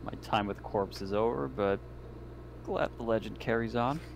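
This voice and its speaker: A man plays a tabletop roleplaying game over voice chat. His voice is fairly low and his talk casual and improvised, with clear noise behind him. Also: moderate pace. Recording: noisy home voice-chat microphone.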